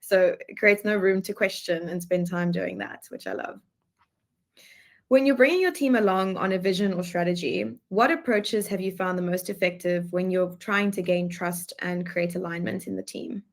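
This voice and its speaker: Lady host doing the interview, slightly low voice, agrees then asks the next thing. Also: normal speed, creaky. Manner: engaged and affirming, builds on the guest's points. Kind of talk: interview conversation.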